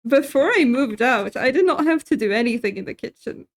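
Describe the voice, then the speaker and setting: A young woman with a high, light voice chats with her viewers as she cooks at home. She, laughs easily, and answers chat messages as they come in. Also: expressive, a little fast, a little hiss or hum. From Sweden. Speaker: streamer. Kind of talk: casual chat while cooking on stream.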